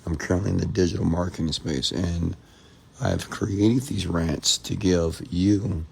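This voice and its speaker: A hoarse man from Texas talks late at night on a livestream, his voice very low with a gravelly fry. He repeats himself and calls out viewers by name in a motivational tone. A faint hiss sits under the recording. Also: steady tone, a little slow, a little mellow. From Texas, USA. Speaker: entrepreneur hosting a live stream.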